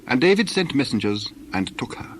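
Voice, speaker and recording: A man serves as narrator for a dramatized scripture. His mid-pitched voice delivers scripted, old-fashioned storytelling, with faint ambient noise in the background. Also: big pitch swings, brisk.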